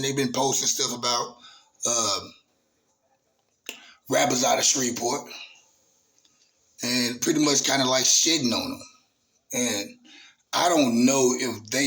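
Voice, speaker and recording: A man, hosting his own channel in a casual, halting monologue full of fillers and restarts. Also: mid-range voice, fairly bright tone, poor audio. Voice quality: raspy voice. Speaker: YouTube channel host.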